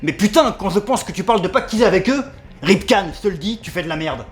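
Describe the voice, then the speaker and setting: A man's voice: sits high, lively intonation, fast talker, faint music behind. A man playing a surly, foul-mouthed bar owner from a crime clan in a sci-fi audio drama, sarcastic and confrontational. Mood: challenging, scornful.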